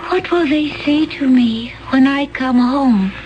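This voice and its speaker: An otherworldly young woman, high-pitched and strangely calm. She speaks slowly and with detachment, sounding slightly muffled, with faint music underneath in this old radio drama. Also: a little hiss or hum. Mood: detached. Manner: slow, measured, detached. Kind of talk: 1970s radio drama acting.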